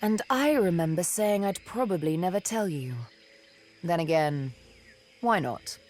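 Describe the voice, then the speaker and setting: An actress playing a haunted, wistful young elven woman in a video game, telling her tale in deliberate, poetic, theatrical phrases. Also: fairly low pitch, animated, a little mellow, slight background noise. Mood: wry, reflective. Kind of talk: dramatic video game dialogue, intimate storytelling.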